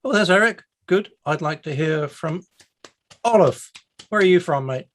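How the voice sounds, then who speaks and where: A man's voice: on the high side, animated, normal speed, fairly bright tone, a little hiss or hum. A man from the UK hosting an online meetup over a video call, chatty and self-deprecating. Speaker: meetup host. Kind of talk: casual online meetup chat.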